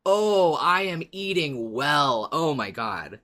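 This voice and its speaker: A man's voice: on the high side, very animated, slow, bright, forceful tone. A man co-hosting a fan podcast, chatting and recapping with playful, wry enthusiasm. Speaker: podcast co-host.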